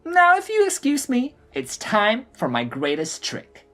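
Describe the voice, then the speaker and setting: A man voicing a cocky, mischievous gnome showman in an audio drama, theatrical and taunting with drawn-out flourishes. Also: very high-pitched, huge pitch swings, fairly bright tone, soft background music, pitched above the performer's own voice, full character voice. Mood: gleeful, showy. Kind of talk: acted audio drama dialogue.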